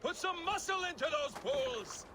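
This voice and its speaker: A man's voice: very high-pitched, expressive, measured pace, raised voice, noisy background. An actor playing a crude, jovial Viking boat crewman in a video game, boisterous and mocking. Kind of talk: video game cinematic acting.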